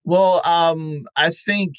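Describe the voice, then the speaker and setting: A man speaking as an interview guest in a reflective, conversational way, earnest and halting, repeating words as he thinks. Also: a little high, steady tone, fairly bright tone, clear, resonant tone, no echo. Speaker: interview guest, festival founder.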